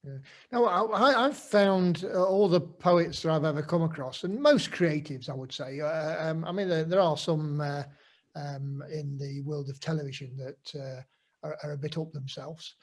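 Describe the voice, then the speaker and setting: Brief: middle-aged man, Nottingham accent, interviewer, hesitant and rambling, fairly high voice. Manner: halting, full of ums and restarts. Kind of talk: casual conversation.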